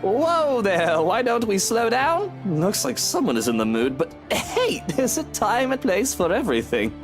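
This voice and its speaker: A man's voice: sits high, very animated, measured pace, over music, full character voice. A man playing a charming, placating husband in a visual novel game, coaxing and playfully gallant. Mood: coaxing, evasive. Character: charming, placating British husband, sweet-talking and evasive.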